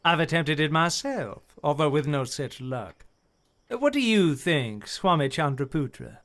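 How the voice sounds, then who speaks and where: A man in a radio play acting a formal, courteous gentleman who chairs a hearing with orderly, articulate speech. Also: fairly high pitch, expressive, measured pace. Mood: earnest, storytelling.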